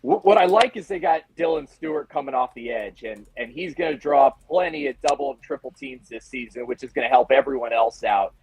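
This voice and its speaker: A man's voice: mid-range voice, brisk, hardly any pauses, darkish tone, breathy edge, dry recording, rough recording. A man speaking as a guest sports reporter, giving casual analysis in a conversational way, often voicing rhetorical questions. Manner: conversational, talks in acted-out questions and asides.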